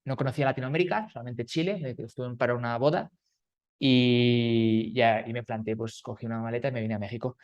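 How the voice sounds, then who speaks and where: A man's voice: mid-range voice, steady tone, fairly quick, darkish tone, highs cut off. A man from Spain, a startup founder speaking as a guest, talking loosely with fillers and restarts over a call. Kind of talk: conversational interview. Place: remote call.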